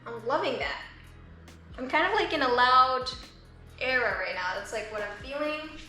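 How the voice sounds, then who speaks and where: A woman in her twenties from the Philippines, vlogging casually as an artist in her studio, chatty and relaxed with lots of "like" fillers. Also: high voice, moderate pace. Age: 20s. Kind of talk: casual vlog chat. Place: art studio. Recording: echoey room with faint music.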